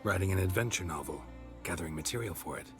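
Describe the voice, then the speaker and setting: An actor playing a stoic, dry-witted monster hunter in a fantasy game, with terse, deadpan lines. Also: male voice, sits low, quick pace, over music. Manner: terse, deadpan, clipped sentences. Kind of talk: video game cutscene dialogue.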